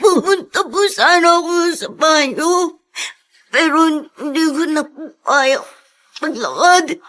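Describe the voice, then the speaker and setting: A very high, whimpering little Filipino boy. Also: expressive, slow, bright, forceful tone, exaggerated voice. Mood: pained, tearful. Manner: plaintive, whimpering. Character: frightened, suffering young schoolboy, tearful grandson. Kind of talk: Filipino radio drama acting.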